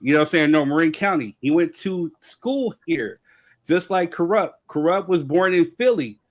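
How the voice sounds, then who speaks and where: A man's voice: a little high, expressive, moderate pace. A man from California on a podcast panel, debating hip hop in an emphatic casual way, often asking "you know what I'm saying".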